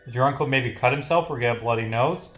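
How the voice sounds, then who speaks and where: Man playing a game detective, probing, insistent, accusatory questioning, mid-pitched. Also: quick pace, echoey room, faint music behind, natural voice. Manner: pressing, accusatory.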